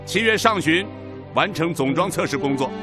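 A man's voice: a little high, expressive, normal speed, over music, natural voice. A male actor playing a resolute missile institute leader, giving a declamatory, rousing radio drama speech. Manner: declamatory, emphatic.

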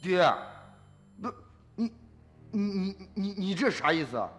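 An actor playing a brash, dismissive middle-aged farmer's son in a radio drama, blustering and scoffing in colloquial speech. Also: male voice, high-pitched, animated, unhurried, fairly bright tone, faint music behind. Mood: indignant.

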